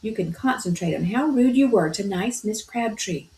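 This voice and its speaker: A woman's voice: medium pitch, expressive, moderate pace, darkish tone, lightly acted. A woman voicing a prim, worried mother, read aloud from a storybook. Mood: fretful. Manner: fretful, prim. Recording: roomy echo.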